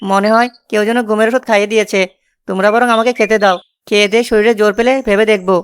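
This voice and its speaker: A woman's voice: mid-range voice, fairly even intonation, racing, clear, resonant tone, close to an everyday voice. A woman voicing a lazy, gluttonous young village man in a cartoon story, whining and carefree. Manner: whining, carefree, dreamy about food.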